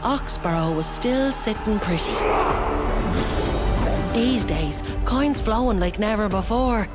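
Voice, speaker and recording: Woman as a slow, knowing storyteller over loud music. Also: mid-range voice, lightly acted. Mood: knowing, dramatic. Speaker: story narrator. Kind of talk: dramatic scripted game narration.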